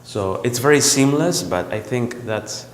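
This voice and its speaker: A man from El Salvador, a visual artist, talking about his work in a gallery in a reflective, halting way with many ums and restarts. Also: mid-range voice, expressive, slight background noise. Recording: roomy gallery echo with long reverb.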